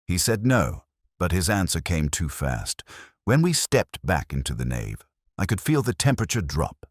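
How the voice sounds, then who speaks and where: A man narrating a scripted first-person detective story in a measured, brooding, literary manner. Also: low voice, very animated, no room sound, studio quality.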